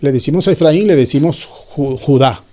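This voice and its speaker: A man's voice: on the high side, normal speed, dark tone. A man giving an informal Bible teaching, talking conversationally with frequent restarts and checking questions to his listeners. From Spain.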